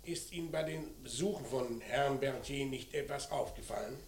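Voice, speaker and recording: Police commissioner, creaky voice, terse, probing, matter-of-fact, radio crime drama. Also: male voice, medium pitch, moderate pace. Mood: businesslike. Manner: curt, matter-of-fact questioning. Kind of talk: German radio crime play acting.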